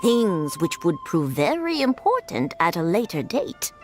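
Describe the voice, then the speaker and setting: A kind village woman who helps out. Her gentle, polite voice rises and falls in a lively way, with soft game music behind her. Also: on the low side, unhurried. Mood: kindly. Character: kindly, helpful village woman. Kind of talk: video game dub.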